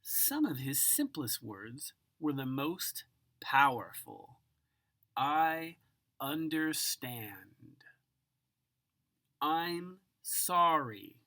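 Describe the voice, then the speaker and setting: A woman giving an expressive children's picture book read-aloud, savoring words dramatically and speaking directly to the listener. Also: sits low. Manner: savors single words with drawn-out, dramatic delivery and occasional whispers. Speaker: storybook reader.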